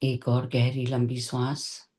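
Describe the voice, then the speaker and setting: A meditation teacher giving guided instructions gently and deliberately, in short phrases with long pauses. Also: medium pitch, monotone. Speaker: meditation teacher leading a session.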